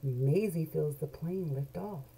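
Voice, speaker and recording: Middle-aged lady doing a kids read-along, lowish slightly creaky voice, stretches out key words, animated storyteller. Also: takes it slowly. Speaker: children's book read-along host. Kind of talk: expressive read-aloud of a picture book for young children. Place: small indoor home room with bookshelves. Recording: noisy home webcam recording.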